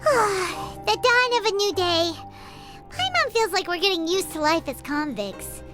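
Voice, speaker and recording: A woman voicing a tiny, childlike, excitable fairy companion with a squeaky voice in a video game. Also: a little slow, heavily acted. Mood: curious. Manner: refers to self in third person, exclamatory. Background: game music.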